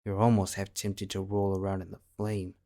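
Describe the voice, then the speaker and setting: Low-voiced man narrating a story. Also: completely flat delivery, moderate pace, mellow. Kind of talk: scripted second-person story narration.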